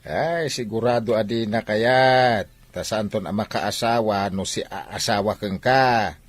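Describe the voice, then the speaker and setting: A man's voice: fairly low pitch, a little slow. A Filipino actor playing an older father, a hesitant, conspiring advisor in a radio soap opera. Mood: doubtful.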